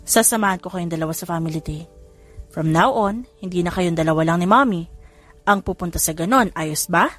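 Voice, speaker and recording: A woman voicing a tender, devoted father, speaking softly and reassuringly. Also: fairly low pitch, fairly quick, faint music behind, voice pushed down. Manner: soft, gentle, reassuring. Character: tender, reassuring adult man, devoted daddy.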